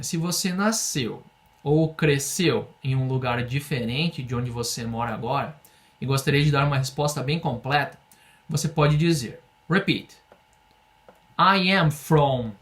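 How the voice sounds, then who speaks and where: A man's voice: fairly high pitch, a bit bright. Patient Brazilian man teaching English. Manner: patient, explains slowly, drills phrases for repetition. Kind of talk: online language lesson.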